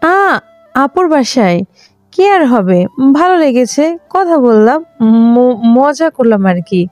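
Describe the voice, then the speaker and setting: Woman playing a hesitant office friend, talks slowly, highish voice, audio drama. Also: very animated, a little mellow, no echo, soft background music, exaggerated voice. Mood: evasive. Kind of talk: audio story acting.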